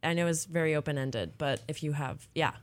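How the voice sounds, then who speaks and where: A woman's voice: deep voice, fairly quick. A woman hosting a podcast, chatting and asking questions in an informal, encouraging way. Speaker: podcast host. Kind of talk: casual chat, interviewing.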